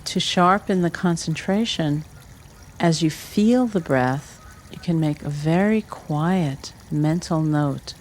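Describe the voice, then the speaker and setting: Slow-paced guided meditation teacher over trickling water. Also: medium pitch, a little hiss or hum. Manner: measured, instructional, with short pausing phrases. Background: trickling water ambience.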